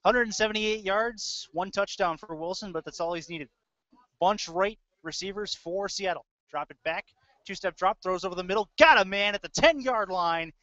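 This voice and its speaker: A man's voice: high voice, moderate pace, pushed and bright, no echo, highs cut off. A man doing live play-by-play of a football game, describing the action in short clipped phrases. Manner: clipped running description of plays. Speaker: play-by-play sports announcer. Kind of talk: live American football commentary.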